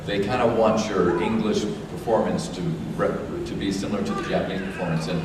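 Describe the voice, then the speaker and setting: A man with a low voice, a voice actor, answering on a convention panel with halting restarts, recorded from far off. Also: fairly even intonation. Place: large convention hall. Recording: echoey hall from far off, noise.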